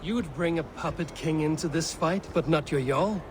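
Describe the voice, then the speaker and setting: An actor playing a blunt, honest Viking raider hero who talks in a firm, plain way. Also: male voice, fairly high pitch, normal speed, heavy background noise, lightly acted.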